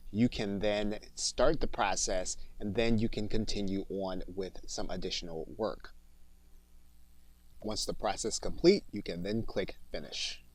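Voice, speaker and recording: Male instructor for software tutorial voice-over: fairly deep pitch, methodical step-by-step delivery, dry close recording. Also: steady tone, measured pace, dry recording, rough recording.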